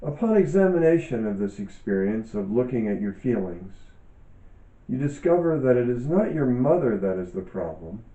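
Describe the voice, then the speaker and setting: A man reading reflective self-help teaching aloud in a deliberate, measured way, pausing often between short phrases. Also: medium pitch, sing-song, dark tone. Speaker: author and yoga teacher reading from own book. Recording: dull, echoey room recording.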